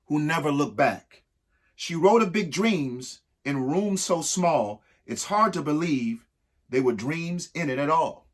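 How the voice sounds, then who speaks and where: A man reading a children's picture book aloud in a theatrical, emphatic way, with dramatic pauses and asides to young listeners. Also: a little high, a bit bright.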